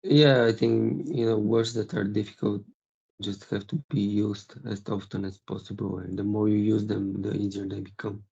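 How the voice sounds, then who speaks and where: Host: man, gravelly low voice, dark tone, muffled lo-fi recording. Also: little pitch movement, normal speed. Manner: asks questions with restarts. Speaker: language teacher and podcast host. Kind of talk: casual interview questions. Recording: dull lo-fi recording.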